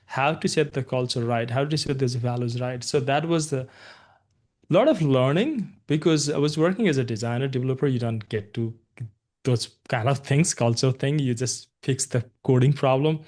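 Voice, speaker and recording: A man's voice: mid-range voice, normal speed, a little mellow. A man from Nepal, a guest agency founder, talking casually in an interview, often starting with "look" and "you know".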